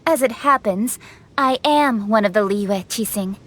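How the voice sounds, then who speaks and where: An actress voicing a brisk, direct young noblewoman official in a video game. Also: mid-range voice, a little hiss or hum, lightly acted. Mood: stern. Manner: crisp, direct, a little sharp.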